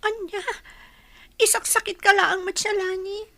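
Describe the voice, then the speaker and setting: Casting: concerned young female friend, extremely high bright voice, plaintive, radio drama style. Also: flat delivery, a little slow, gravelly, dry recording, clean studio sound, full character voice. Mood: hurt, pleading. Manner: plaintive, pleading. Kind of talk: Ilocano radio drama acting.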